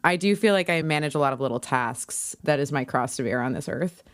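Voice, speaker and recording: A woman's voice: low-pitched, lively intonation, fairly quick, no echo, studio quality. A woman podcast guest in casual chat, with a jokey, self-deprecating way of talking.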